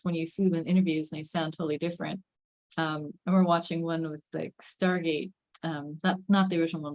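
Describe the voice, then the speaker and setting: A woman in a casual group chat, talking haltingly as she thinks aloud. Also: lowish voice, darkish tone.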